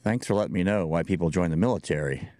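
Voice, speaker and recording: Older man with a deep lecturing voice. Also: fast talker, a little mellow, dry recording, clean studio sound. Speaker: video commentator. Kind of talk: opinion video commentary.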